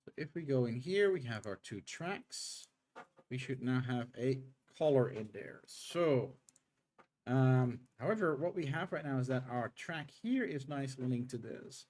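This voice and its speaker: A middle-aged man with a tired throat, streaming live game development and talking through his code in halting, unhurried steps. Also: mid-range voice, expressive, darkish tone. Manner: halting, thinks aloud with many pauses and um's. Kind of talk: live coding stream, thinking aloud.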